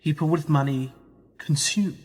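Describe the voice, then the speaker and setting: A man's voice: on the high side, measured pace, darkish tone, slightly rough, long reverb tail. An actor playing a sceptical young male journalist who probes and challenges in a radio play. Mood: probing, sceptical. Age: young adult. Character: young male journalist, sceptical, probing, indignant when used.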